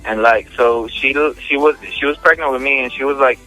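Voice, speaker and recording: Bright-voiced, slangy young-sounding man. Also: medium pitch, rough recording. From United States, born in New York, raised in Miami. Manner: slangy, halting with repeated words. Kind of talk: casual personal testimony in an interview. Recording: phone interview with music behind.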